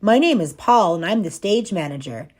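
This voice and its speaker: A woman reads as a friendly stage manager, a proud and encouraging dad in a children's story. He speaks directly and kindly, explaining things clearly at a medium pitch. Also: animated, normal speed, darkish tone, close to an everyday voice. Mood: welcoming.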